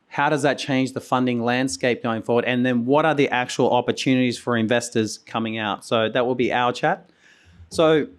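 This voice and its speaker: Hoarse male conference host, mid-pitched, rambling keynote with restarts and coughs, voice going. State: hoarse, losing his voice. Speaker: conference host and company founder. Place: conference venue.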